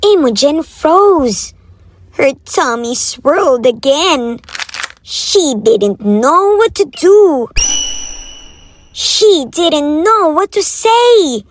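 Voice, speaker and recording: A woman narrating picture books for young children in an expressive read-aloud style. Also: sits high, drawn-out words. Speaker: children's storyteller. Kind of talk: animated picture-book narration. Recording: faint background noise.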